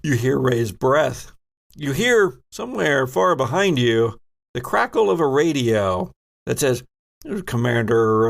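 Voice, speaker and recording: A man's voice: mid-range voice, gravelly. A man running a tabletop horror game as game master, narrating in a descriptive, suspenseful way.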